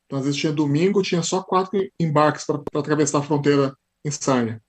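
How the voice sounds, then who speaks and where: Give me a lively Paulista man with a husky voice and a rapid pace, chatting as a guest on a podcast. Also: fairly high pitch. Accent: São Paulo Portuguese. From Brazil. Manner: animated storyteller, acts out quoted dialogue. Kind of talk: casual interview chat.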